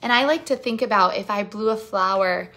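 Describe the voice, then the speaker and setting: A woman reading a picture book aloud to children and chatting with young viewers as their host, encouraging them with direct questions and expressive, drawn-out phrasing. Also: mid-range voice.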